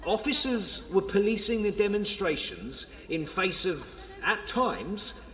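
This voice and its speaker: A man's voice: high-pitched, very animated, slow pace, sharp tone, exaggerated voice. An actor playing a defensive, placating male police official with an English accent in an audio drama. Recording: reverberant hall, distant mic.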